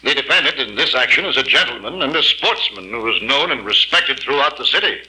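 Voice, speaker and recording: An actor playing a pompous, long-winded district attorney in an old-time radio drama. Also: male voice, medium pitch, animated, brisk, bright, forceful tone, rough recording. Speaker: prosecutor.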